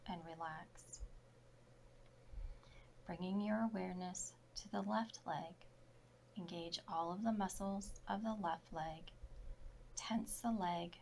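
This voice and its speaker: A woman yoga instructor leading a guided relaxation meditation in short, soft, measured phrases. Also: on the low side, slight background noise, poor audio.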